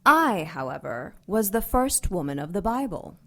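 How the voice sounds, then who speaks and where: A woman playing a seductive, vain ancient dark angel in an audio drama, teasing and theatrical, savoring her words with amused menace. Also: fairly low pitch, very animated, moderate pace.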